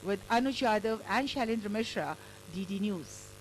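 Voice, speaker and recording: A woman's voice: medium pitch, expressive, normal speed, slight background noise, poor audio. A woman with an Indian accent reading a scripted television news report as a reporter.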